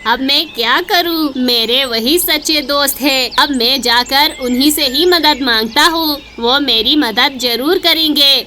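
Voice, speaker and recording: A woman's voice: high voice, measured pace, hardly any pauses, pushed and bright, slight background noise. A woman voicing an emphatic male crow in a cartoon story. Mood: remorseful. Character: stubborn, distrustful male crow, later remorseful.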